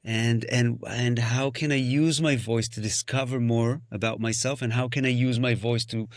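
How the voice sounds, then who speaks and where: A man's voice: medium pitch, steady tone, normal speed, no room sound. A man whose first language is Hebrew speaks as a guest musician on a podcast. His mid-range voice is thoughtful and searching, often restarting phrases and breaking into sung syllables. The recording is dry and close. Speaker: musician and singing-circle facilitator. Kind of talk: reflective podcast conversation.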